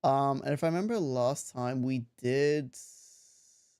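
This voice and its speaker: A man's voice: mid-range voice, takes it slowly, darkish tone, clear, resonant tone. A young man from Australia chatting casually on a live game stream, rambling with frequent laughs and restarts. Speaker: livestreamer. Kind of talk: casual live-stream chat while gaming.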